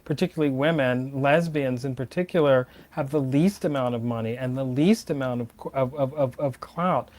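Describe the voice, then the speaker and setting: A man's voice: mid-range voice, normal speed, few pauses, darkish tone. Earnest man in an old documentary. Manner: earnest, stumbling on repeats. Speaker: interviewee in an archive documentary. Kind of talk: interview talk.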